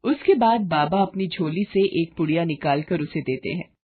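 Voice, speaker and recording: A woman's voice: lowish voice, animated, normal speed, a little hiss or hum. A woman narrating a scripted cartoon family story. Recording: dull lo-fi audio.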